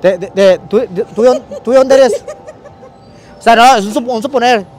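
A man's voice: very high voice, moderate pace, a little mellow, soft background music. A man doing a teasing street interview with a handheld mic on a city street. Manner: joking, teasing, laughs often.

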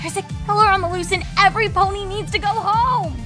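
A woman's voice: extremely high pitch, steady tone, a little fast, over music, pitched above the performer's own voice. A woman voicing an earnest, anxious female unicorn, urgent and insistent with a raised voice.

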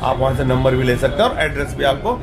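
A man's voice: mid-range voice, lively intonation, quick pace. A man in his thirties chatting casually to viewers in a selfie vlog, filmed on a camera held at arm's length, with a jokey, direct way of talking. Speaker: vlogger. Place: shops, outdoor grounds and a home. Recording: loud music and crowd behind.

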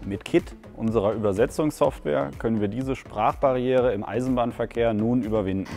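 A man in his thirties presenting formally for a company in a measured way. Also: mid-range voice, gravelly, darkish tone, faint music behind. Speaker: company spokesperson. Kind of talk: scripted presentation. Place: large indoor atrium.

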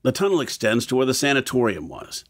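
A man's voice: mid-range voice, big pitch swings, fast talker, no room sound, studio quality. A man playing a practical, level-headed planner in an audio drama, speaking matter-of-factly.

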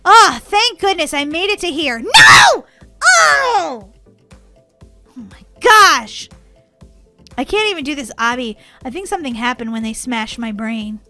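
A woman giving playful, excitable gameplay commentary in a childlike way as host of a gaming video. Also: high-pitched, fairly quick, few pauses, soft background music.

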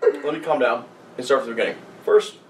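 A man's voice: medium pitch, quick pace. A man in his twenties joking and swearing among friends, reading aloud in a mock-dramatic way. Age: 20s. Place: small room, group on couches. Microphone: far camera. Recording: distant camera mic, room noise.

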